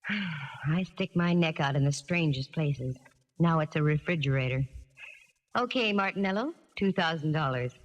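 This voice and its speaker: An actress playing a wisecracking, tough American private eye, narrating in a wry, hard-boiled 1940s radio drama style. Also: female voice, low voice, lively intonation, moderate pace, dull audio. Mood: shrewd, wry. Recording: 1940s radio recording, reverberant, music behind.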